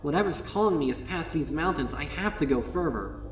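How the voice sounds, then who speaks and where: A man voicing a female winged pony, awestruck and terrified, speaking in halting, breathless bursts. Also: a little high, expressive, close to an everyday voice. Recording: echoey, distant, music behind.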